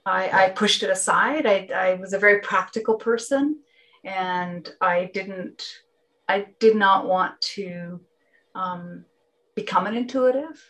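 Canadian woman, halting and reflective. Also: mid-range voice, fairly bright tone, poor audio. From Northern Ontario, Canada. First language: Finnish. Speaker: interview guest. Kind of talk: reflective personal conversation.

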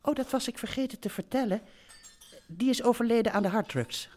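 A woman's voice: on the high side, a little fast, warm tone, lightly acted. A woman playing a blithe, rambling elderly mother who runs a holiday park, in a comic radio play recorded live in a theatre. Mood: blithely explaining. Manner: rambling, breezily matter-of-fact, doting. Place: theatre stage before a live audience.

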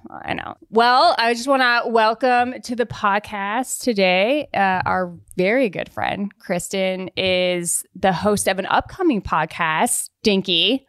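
A woman's voice: mid-range voice, slow, few pauses, a bit bright, smooth, dry recording, clean studio sound. A woman, probably in her thirties, co-hosting a podcast in a casual, chatty style. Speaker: podcast co-host.

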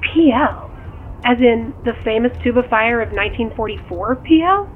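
Harried mother juggling kids, high-pitched, heard through a thin phone line, natural radio drama delivery. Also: lively intonation, normal speed. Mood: curious. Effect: phone call filter. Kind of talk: naturalistic audio drama dialogue. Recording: tinny phone line, faint noise.

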